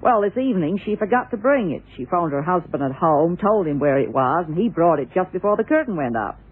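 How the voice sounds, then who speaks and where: An actress playing a blunt, hard-bitten theatre dresser in a 1970s radio crime drama, talking candidly and colloquially. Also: female voice, lowish voice, lively intonation, a little fast, slightly rough. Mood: explaining. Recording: tinny, band-limited old radio recording.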